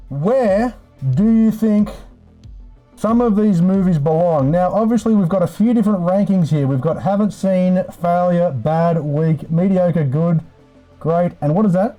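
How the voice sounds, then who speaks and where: A man's voice: high voice, mellow, poor audio. A man hosting a casual livestream, chatting in a laid-back, sweary way and addressing viewers as mates. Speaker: live streamer host. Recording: music playing in the background. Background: background music.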